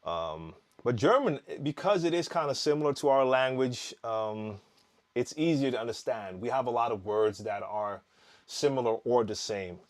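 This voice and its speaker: A man hosts a laid-back live stream and answers viewers' questions. He talks in an easy, rambling way with plenty of "um"s and false starts. His voice sits at an ordinary middle pitch for a man. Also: moderate pace. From Netherlands. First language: Dutch. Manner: relaxed, chatty, reading viewer comments aloud with frequent fillers and restarts. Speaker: DJ hosting a live Q&A.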